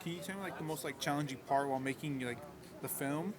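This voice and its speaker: A man's voice: a little high, fast talker. A young man asking festival interview questions, hesitant and full of filler words. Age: young adult. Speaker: student interviewer. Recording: crowd noise, voice from off-mic.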